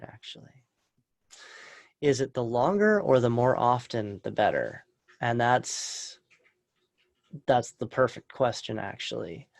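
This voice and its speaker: Slow, calm, soft-spoken man. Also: medium pitch, creaky, dry recording. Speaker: meditation teacher. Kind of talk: guided meditation and live Q&A webinar. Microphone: video call.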